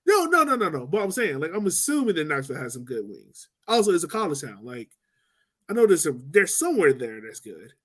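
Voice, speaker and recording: A man's voice: a little high, wildly swooping pitch, fairly quick, a bit bright. A man hosting a casual sports podcast in a joking, informal, slangy way. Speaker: podcast host.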